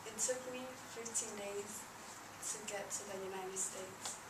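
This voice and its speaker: A woman with a mid-pitched voice reads a personal story aloud with very little melody. Her delivery is halting, with stumbles and restarts. The recording is echoey, with heavy noise throughout. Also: fairly even intonation. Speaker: narrator reading a first-person life story. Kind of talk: read-aloud personal narrative. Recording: noisy, echoey room, distant microphone.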